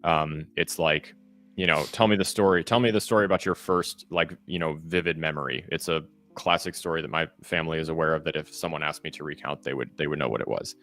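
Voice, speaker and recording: A man co-hosting a morning podcast in casual chat, with a fairly monotone voice, giving practical advice with lots of ums and you-knows. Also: very deep voice, quick pace. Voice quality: relatively monotone voice. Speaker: co-host.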